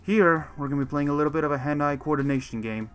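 A man's voice: on the high side, fast talker, a bit bright, clean tone, close to an everyday voice. A man playing a confident, wry werewolf teacher in an improvised Minecraft roleplay. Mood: instructing. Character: male werewolf mentor, confident, wry, running training games. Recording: music behind the voice.

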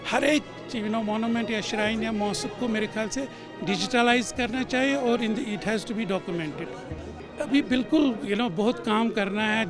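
A middle-aged man with a Kashmiri accent giving a reflective news interview at a book launch, dropping English fillers into his speech. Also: sits high. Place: hallway at a launch event, indoors. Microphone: handheld news mic close up.

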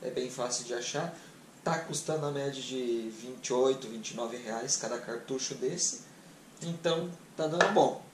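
A man's voice: medium pitch, normal speed, roomy sound, background noise. A man in his thirties from Brazil giving a frank, casual product review beside his car, chatting with many fillers. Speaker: travel vlogger. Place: garage beside an open car trunk.

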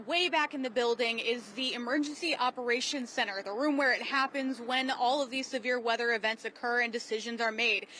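A woman's voice: high-pitched, fairly bright tone, a little hiss or hum. A woman in her twenties reporting a scripted television news story into a handheld microphone. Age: 20s. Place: outdoors on a street by a building, live stand-up.

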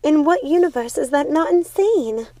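A woman reads the part of a young witch sister in a storybook tale. Her voice rides high and emphatic, full of horror and scorn. She argues and pleads like a rebel, in a dry, close sound. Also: lively intonation, moderate pace, dark tone, no room sound. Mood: outraged.

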